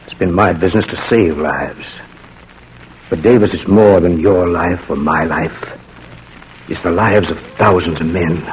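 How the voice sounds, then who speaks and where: A commanding Confederate captain in a classic radio drama. His voice is deep, grave and measured, full of solemn authority. Faint noise runs under the old recording. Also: darkish tone, low-quality recording. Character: grave, commanding older Confederate spy captain, former doctor. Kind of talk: 1940s radio drama acting.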